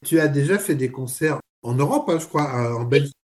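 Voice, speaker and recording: A man's voice: on the high side, lively intonation, brisk, a little mellow, soft background music. A man interviewing a guest, friendly and curious in his questions. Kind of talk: radio interview.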